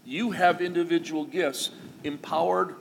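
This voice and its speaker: A man's voice: on the high side, steady tone, a little slow, vocal fry. A middle-aged man preaching a sermon to his church, talking in a conversational, folksy way with asides and small jokes. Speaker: pastor. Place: church sanctuary. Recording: long reverb of a church hall, faint noise.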